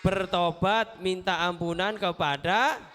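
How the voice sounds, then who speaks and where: A man giving an animated, interactive Islamic motivational talk to schoolchildren, asking questions and repeating phrases. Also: sits high, takes it slowly, slight background noise. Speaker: children's storyteller and motivational speaker. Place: live event at a school before children.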